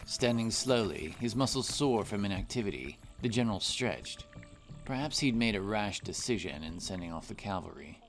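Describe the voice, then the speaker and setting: A man's voice: on the low side, expressive, moderate pace. A man reading scripted fantasy story narration as the narrator of an audio drama. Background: music.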